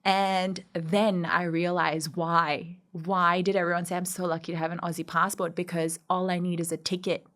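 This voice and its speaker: A woman's voice: on the low side, level tone, normal speed, hardly any pauses, a bit bright. A woman from Pakistan speaking as a podcast guest, telling her personal story in a reflective, emphatic and articulate way. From Karachi, Pakistan. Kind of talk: candid interview, personal storytelling.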